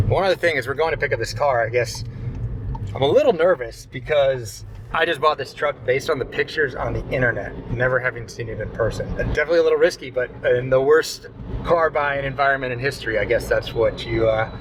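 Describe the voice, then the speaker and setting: Young male host, chatty and upbeat, mid-range voice, loud road noise in the cabin. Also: mid-range voice. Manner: chatty, upbeat, self-mocking. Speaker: maker channel host. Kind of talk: casual vlog chat. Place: inside a car on the road. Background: loud road and car noise.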